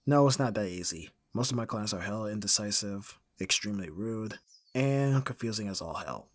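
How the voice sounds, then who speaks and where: A man playing a gruff but caring young roommate in an audio roleplay, casual and dryly grumbling, with a slightly husky voice. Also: lowish voice, lively intonation, moderate pace, dry recording. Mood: relaxed, caring. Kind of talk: ASMR audio roleplay acting.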